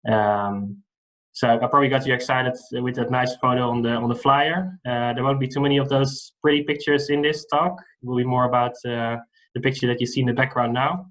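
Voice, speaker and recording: A man in his thirties from the Netherlands with a Dutch accent, giving a relaxed online lecture over a video call with many fillers. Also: medium pitch, fairly quick, low-quality recording. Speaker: guest lecturer.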